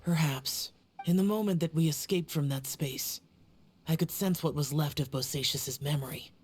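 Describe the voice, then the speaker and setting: A woman voicing a solemn young male warrior guardian in a video game, speaking in a grave, measured, weary way. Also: deep voice, a little mellow, a little hiss or hum, close to an everyday voice. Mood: reflective.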